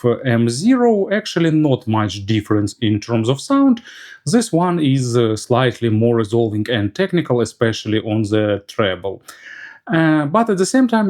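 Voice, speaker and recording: A man's voice: mid-range voice, a little mellow. Man reviewing audio gear, hesitant phrasing. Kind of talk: product review commentary.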